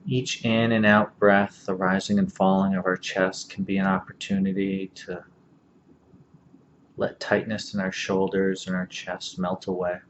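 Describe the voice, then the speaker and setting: A man with a low voice leads a guided body-scan meditation. His delivery is very level and gentle, leaving long pauses between phrases. The recording is somewhat dull and lo-fi. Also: level tone. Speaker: meditation instructor. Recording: dull lo-fi audio, faint background noise.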